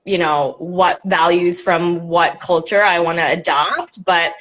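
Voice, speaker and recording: A woman's voice: lowish voice, fairly even intonation, measured pace, a bit bright. A young woman hosting a live video-call interview, talking casually and rambling, with many fillers. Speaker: host, nonprofit director.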